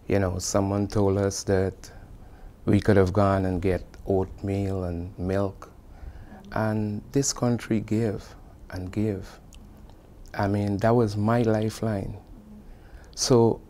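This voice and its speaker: A man's voice: on the low side, vocal fry, dark tone, a little hiss or hum. A middle-aged man with a Guyanese accent recalling personal experience in an earnest, emphatic way, repeating phrases to drive them home. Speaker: construction supervisor giving testimony. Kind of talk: personal oral-history recollection.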